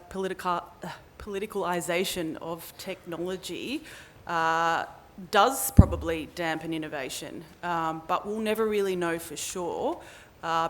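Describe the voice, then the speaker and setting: A woman with a slightly low voice answers a question on a conference panel. She speaks frankly, with quick restarts as she finds her words. A faint background hiss runs under her voice. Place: conference hall.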